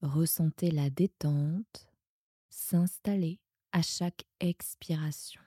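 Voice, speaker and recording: A woman guiding a sleep meditation in a soothing, hushed, breathy manner that often nears a whisper. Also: low voice, steady tone, takes it slowly, dry recording, clean studio sound. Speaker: yoga nidra guide and podcast host.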